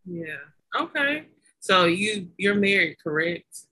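A woman's voice: mid-range voice, sing-song, slow pace, husky, rough recording. A woman with a Southern American accent hosting a faith podcast, chatty and laughing at her own slip-ups. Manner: warm, chatty, stumbles over words and laughs at herself. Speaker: podcast host. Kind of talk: casual faith podcast interview.

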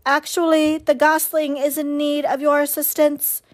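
Woman as a storybook robot, female, high voice, polite, formal, literal, earnest. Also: little pitch movement, normal speed, close to an everyday voice. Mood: cheerful, booming. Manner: formal, precise, no contractions.

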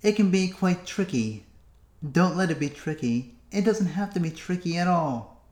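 A man from the United States hosting a solo podcast, musing to himself with long pauses. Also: sits high, lively intonation, darkish tone, echoey room. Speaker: podcast host. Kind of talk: solo reflective podcast monologue.

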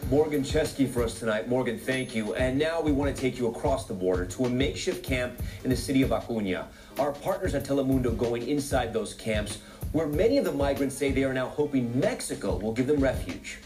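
A man's voice: mid-range voice, expressive, fairly quick, darkish tone, soft background music. American male news anchor, slightly distant. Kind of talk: scripted broadcast news narration.

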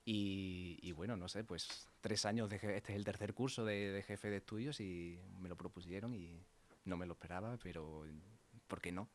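A man's voice: low-pitched, moderate pace, a little hiss or hum. A man in his thirties from Extremadura, with an Extremaduran accent, answering interview questions as a school's head of studies in an earnest, hesitant way that repeats words. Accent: Extremaduran Spanish. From Spain, Extremadura.

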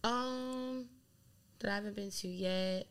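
A woman's voice: medium pitch, slow, clean tone. A young woman from Delaware, a DJ, chatting casually in an interview, rambling with lots of "like". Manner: rambling, heavy use of "like", animated. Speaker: DJ and guest.

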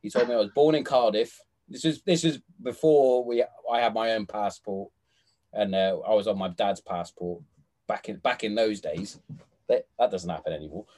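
A man's voice: on the low side, moderate pace, darkish tone. A man hosting a casual chat, swearing freely, laughing often and restarting his sentences. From England. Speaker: podcast host.

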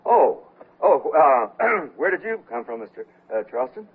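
An actor playing an absent-minded, blustery department head in a radio comedy, with a husky voice and halting delivery. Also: male voice, on the high side, big pitch swings, slight background noise. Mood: flustered. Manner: bumbling, halting. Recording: thin narrow-band radio broadcast.

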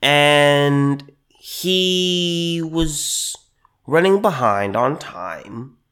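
A man hosting a solo commentary podcast, a little under the weather with allergies, who halts and repeats phrases with many fillers and slows down to stress his points. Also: on the high side, animated, fairly bright tone. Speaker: podcast host.

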